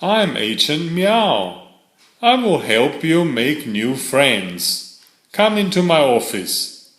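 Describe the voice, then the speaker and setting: A man's voice: a little high, sing-song, takes it slowly, fairly bright tone, pitched above the performer's own voice, heavily acted. A man voicing an eager, helpful male cat detective from a children's storybook, upbeat, emphatic and reassuring. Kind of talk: children's storybook character reading. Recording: echoey room.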